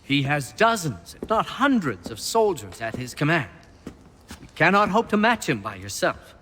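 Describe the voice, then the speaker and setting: Excitable, high-voiced colonial patriot. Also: male voice, moderate pace, big-room sound, a little hiss or hum. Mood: relieved, earnest. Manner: urgent, theatrical, formal. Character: fervent, excitable colonial patriot rallying allies. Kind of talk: video game character acting.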